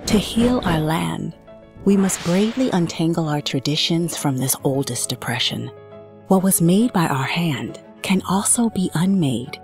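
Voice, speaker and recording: A woman narrates in a deep, unhurried voice, every phrase solemn and deliberate. Soft music plays quietly behind her scripted words. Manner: slow, solemn, earnest delivery. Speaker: video narrator.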